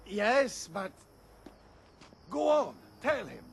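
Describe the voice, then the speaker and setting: A man's voice: high-pitched, sing-song, very slow, creaky, slight background noise, exaggerated voice. A man playing an eager, apologetic soldier in a video game. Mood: sheepish. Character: apologetic, eager Italian soldier manning cannons. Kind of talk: video game cutscene acting.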